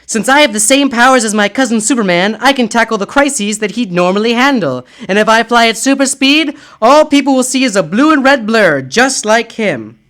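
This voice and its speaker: A man's voice: very high voice, expressive, moderate pace, hardly any pauses, a bit bright. A man doing a confident young superheroine.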